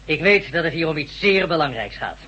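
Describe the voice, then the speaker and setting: An actor in a radio drama playing an upbeat male camp chief who makes announcements briskly and heartily. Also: on the high side, animated, sharp tone, slightly rough, highs cut off, exaggerated voice. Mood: excited, confiding.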